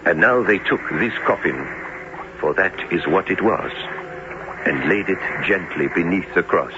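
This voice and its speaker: A low-voiced, learned professor tells his sea tale in formal, dramatic phrases. His delivery swings widely in pitch, and the dull old radio recording is noisy. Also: animated. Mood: solemn storytelling. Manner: measured, formal storytelling. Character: learned, sober male doctor and professor recounting his sea voyage. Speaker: narrator and character. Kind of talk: dramatic radio narration and acting.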